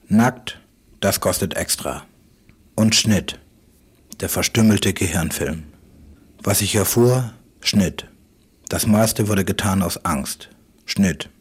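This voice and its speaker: A man speaking reflective spoken-word radio prose in halting fragments, with a husky voice. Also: on the low side.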